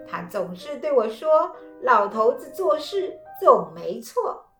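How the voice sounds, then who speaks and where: A proud, easygoing old man from a fairy tale, as a woman storyteller puts him on. He sounds pleased with himself and chuckles off every doubt. The voice sits fairly high, in a roomy space with faint music underneath. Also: sing-song, slow, fairly bright tone, heavily acted. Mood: cheerful. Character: foolish, cheerful old man.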